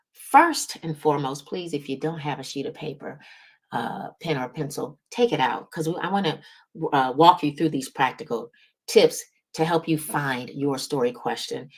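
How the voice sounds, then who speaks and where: Female business coach and host, lowish voice, emphatic instructional delivery that keeps checking the audience is following. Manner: emphatic, checks in with "right?" and "okay?". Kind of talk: motivational coaching talk to audience.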